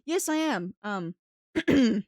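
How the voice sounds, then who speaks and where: A woman's voice: on the high side, expressive, slow pace, darkish tone, no echo. Girl streamer doing a fake british accent, chatty rambly n giggly, dry close mic. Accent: put-on British. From New England, United States. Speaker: live streamer. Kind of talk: casual livestream chat with viewers.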